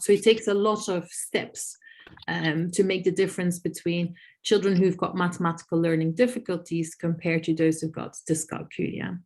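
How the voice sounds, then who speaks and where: A woman whose first tongue is Dutch talks through a video call, her voice sitting a little low. She explains patiently, listing examples and stopping to gather her thoughts with soft fillers. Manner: thoughtful, many "um" pauses, lists examples. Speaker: researcher answering audience questions. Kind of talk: webinar Q&A, explaining.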